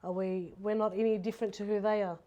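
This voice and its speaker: A woman's voice: mid-range voice, fairly even intonation, quick pace, warm tone, lo-fi sound. An Australian woman from Sydney chatting casually and reflectively as an interview guest. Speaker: podcast guest, makeup artist.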